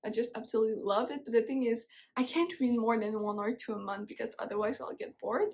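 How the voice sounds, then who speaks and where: A woman's voice: on the high side, steady tone, fast talker, a little mellow. A woman in her twenties from Quebec, French her first language, speaking English with a Québécois French accent, chatting casually to camera as a book vlogger at home, with many fillers and restarts. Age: 20s. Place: home room.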